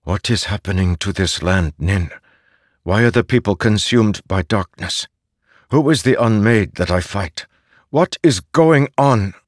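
A man voicing a young male warrior, pleading and defiant, pushing toward desperate shouts. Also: lowish voice, lively intonation, a little slow, no room sound, studio quality, voice pushed up. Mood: urgent.

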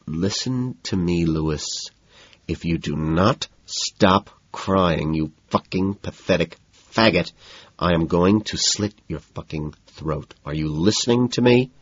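Guy acting a cold threatening man, low hissy mutter, husky, audiobook. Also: unhurried, no echo, muffled recording, full character voice. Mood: cold menace. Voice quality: low, hushed, hissing. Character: cold, menacing, exasperated narrator-protagonist, threatening under his breath.

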